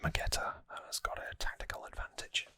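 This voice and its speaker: A person whispering an ASMR recap in a hushed, breathy, conversational way, close to the microphone. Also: brisk. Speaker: ASMR host. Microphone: close, dry microphone.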